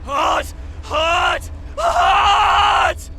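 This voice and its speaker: A man's voice: very high voice, very animated, drawn-out words, vocal fry, shouting, noisy background, full character voice. A man playing a tormented immortal in an audio drama, screaming in pain. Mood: agonized. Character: tormented immortal man, defiant, burning in agony.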